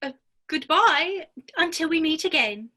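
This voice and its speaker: A woman's voice: high voice, expressive, unhurried, bright, forceful tone, exaggerated voice. A woman playing a polite, earnest, curious little girl in a dramatic reading of a children's classic. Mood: polite, puzzled.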